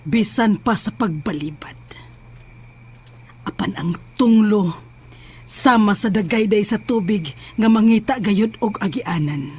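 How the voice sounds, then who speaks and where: A woman's voice: medium pitch, slow pace, darkish tone, a little hiss or hum, lightly acted. An actress playing a sincere, trusting young woman in a horror audio drama about a family curse. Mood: solemn.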